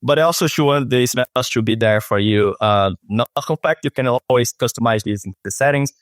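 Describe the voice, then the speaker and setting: A man in his thirties with a Brazilian Portuguese accent, a native speaker of Portuguese, walks through a software demo on a video call. He often restarts and repeats words. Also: medium pitch, brisk, dry recording, studio quality. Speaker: product specialist guest. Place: office with shelves. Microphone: webcam on video call.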